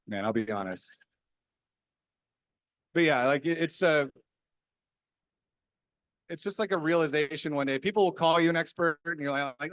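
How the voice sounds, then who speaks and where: A man with a slightly high voice talks as a conference panelist in a dry, close sound. He is informal and candid, with a self-deprecating, conversational way of answering. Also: a bit bright, no echo. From small town, grew up there. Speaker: panelist, experienced software developer.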